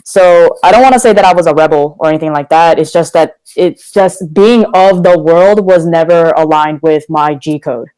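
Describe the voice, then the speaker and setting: A man's voice: on the high side, normal speed, few pauses, a little mellow, clean tone, rough recording. A young man from Korea, a guest talking candidly and energetically in a casual podcast interview. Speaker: guest, motivational speaker.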